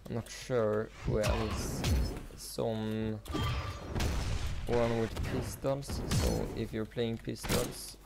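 A teenage man with a Swedish accent, a native Swedish speaker, chatting casually while playing a game, rambling with many fillers and pauses. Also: lowish voice, gravelly. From Sweden. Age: teens. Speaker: gaming YouTuber. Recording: loud noise behind the voice.

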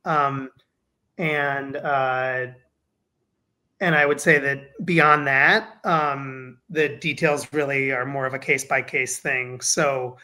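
A faculty member hosting a Q&A session on a video call, answering with a measured manner and many fillers. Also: male voice, medium pitch, fairly even intonation, pushed and bright. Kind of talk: casual Q&A moderation.

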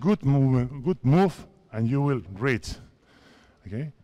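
A man's voice: medium pitch, animated, a little slow, mellow. A man from Spain in his fifties, a native Spanish speaker coaching players on court in a large indoor sports hall, giving short instructive commands. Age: 50s. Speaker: basketball coach. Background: basketballs bouncing.

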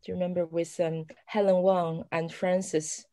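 A woman's voice: fairly low pitch, level tone, normal speed, dark tone. Chatty woman. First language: Chinese. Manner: rambling, many fillers and restarts, laughs often. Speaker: author guest. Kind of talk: casual conversation.

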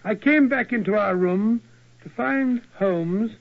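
Blustery British gentleman sidekick for vintage radio play; high, gravelly, easily shocked. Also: slow pace, exaggerated voice. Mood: reflective. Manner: blustering, flustered. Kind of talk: 1940s radio drama acting. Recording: tinny old radio broadcast.

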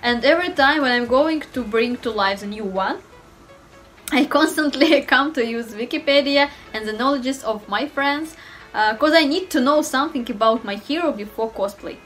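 A woman's voice: high voice, normal speed, a bit bright, faint music behind. A woman in her twenties from Russia with a Russian accent, a cosplayer chatting to camera at home in careful non-native English. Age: 20s. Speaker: cosplayer and costume designer. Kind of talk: self-introduction to camera. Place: home room indoors.

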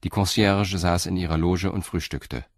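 Male, audio drama narrator, very low pitch, scripted reading, dry sound. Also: steady tone, moderate pace, no room sound.

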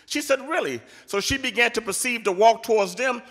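A man's voice: extremely high pitch, brisk, fairly bright tone. Middle-aged man telling a story in an echoey church. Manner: retelling a story with many quoted lines, some stammering. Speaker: speaker at a church program. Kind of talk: storytelling speech. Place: large church sanctuary. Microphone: handheld microphone at a podium. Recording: echoey church hall with faint music.

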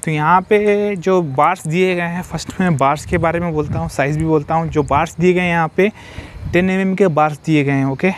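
Man touring a site, flat voice. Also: fairly high pitch, brisk, slight background noise. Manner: repetitive, explanatory, mixes English terms into Hindi. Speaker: civil construction site vlogger. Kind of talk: walkthrough explaining a construction site. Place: outdoor construction site. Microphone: phone held while filming.

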